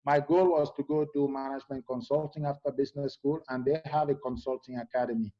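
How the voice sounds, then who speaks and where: A middle-aged man from Cameroon, a guest in a conversational interview, speaking in a halting way with many fillers and restarts. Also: medium pitch, steady tone, warm tone, dull audio.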